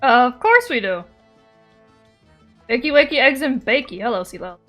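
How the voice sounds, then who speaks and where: A woman's voice: high voice, big pitch swings, normal speed, a bit bright. A woman streamer chatting casually and playfully with her viewers while she plays a game. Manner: playful, giggly, reading and answering chat. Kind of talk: casual livestream chat while gaming. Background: game music.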